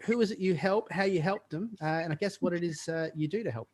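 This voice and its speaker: A man's voice: high-pitched, quick pace, a little mellow. Easygoing guy running a live podcast, lots of uh and um, video call. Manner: upbeat, hesitant fillers. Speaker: podcast host. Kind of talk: casual live podcast hosting.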